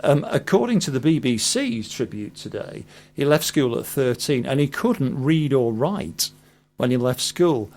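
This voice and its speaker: British man hosting radio, mid-pitched, halting. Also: very animated, a little mellow. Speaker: radio host. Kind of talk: casual radio interview.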